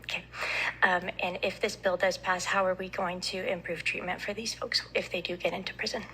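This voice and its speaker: A soft-spoken woman physician giving testimony at a committee hearing, hesitant and full of fillers. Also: lowish voice, steady tone, fairly quick, slight background noise, rough recording. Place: legislative committee hearing room. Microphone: hearing room microphone.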